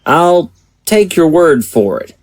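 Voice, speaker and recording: A man's voice: fairly high pitch, sing-song, takes it slowly, dry recording, studio quality, exaggerated voice. A man playing an earnest, protective small-town sheriff in an audio drama, with a folksy, plain-spoken manner. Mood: earnest, hesitant.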